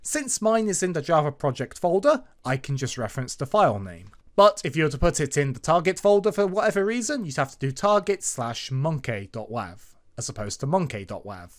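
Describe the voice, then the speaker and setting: A man's voice: high voice, fairly bright tone. A man hosting an informal step-by-step tutorial, explaining things in an emphatic, sing-song way. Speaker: tutorial host.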